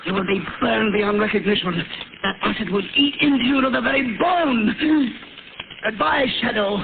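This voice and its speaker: An actor playing a gloating mad scientist poisoner who taunts with maniacal laughter, in 1940s radio acting. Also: male voice, sits high, normal speed, vocal fry. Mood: triumphant. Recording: tinny scratchy old radio broadcast, loud hiss.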